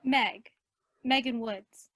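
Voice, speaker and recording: An actress playing an earnest, eager eighteen-year-old aspiring singer in a radio play. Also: female voice, on the high side, fairly even intonation, very slow, bright, forceful tone, no room sound, studio quality, exaggerated voice. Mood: nervous, flustered.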